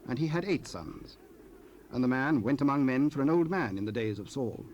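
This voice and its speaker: A man narrating scripture in a formal, solemn and measured way. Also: medium pitch, lively intonation, lo-fi sound. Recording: faintly noisy cassette rip.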